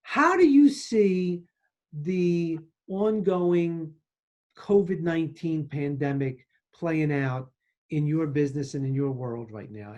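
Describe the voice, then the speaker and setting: A middle-aged man hosting an interview over a video call, asking questions in a hesitant, halting way. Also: fairly high pitch, darkish tone. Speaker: podcast host, surgeon.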